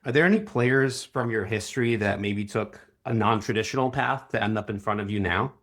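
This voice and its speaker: A man's voice: on the low side, brisk, fairly bright tone. A man hosting a conversational interview, asking questions in an informal, appreciative manner.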